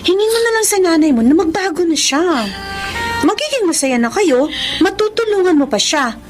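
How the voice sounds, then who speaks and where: High-voiced, sensible young Filipina friend. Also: female voice, lively intonation, normal speed, music in the background. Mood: consoling. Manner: consoling, advising. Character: gentle, sensible nineteen-year-old best friend, female. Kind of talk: Filipino radio drama acting.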